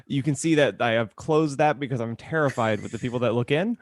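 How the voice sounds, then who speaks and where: A man with a medium-pitched voice co-hosts a comedy podcast. He chats casually and jokingly, recorded close with almost no room sound. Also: a little fast, dry recording. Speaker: podcast co-host.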